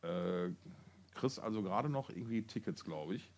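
A man co-hosting a podcast in casual, colloquial chat, thinking aloud with halting phrasing. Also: fairly low pitch, animated, gravelly, a little mellow. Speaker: podcast co-host. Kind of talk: casual chat about music.

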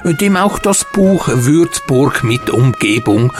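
A man's voice: mid-range voice, animated, takes it slowly, music in the background. A man hosting and narrating from a script in formal, ornate phrasing. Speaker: narrator and host of audio drama show.

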